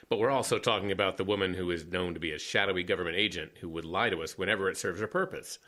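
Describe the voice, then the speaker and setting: A deep, bright-voiced ex-cop. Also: male voice, fast talker. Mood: wry. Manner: ironic, lively, quotes Shakespeare. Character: wry former lawman, skeptical and bookish. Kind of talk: audio drama acting.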